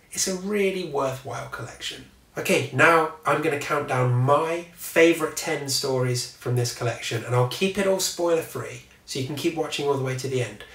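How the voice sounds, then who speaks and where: A man's voice: on the high side, fairly bright tone, recorded from a distance. A man in his thirties giving a chatty, wry book review to camera from a small home office. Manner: chatty and wry, swears at passing vehicles. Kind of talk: casual talk to camera. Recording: echoey room, mic set back.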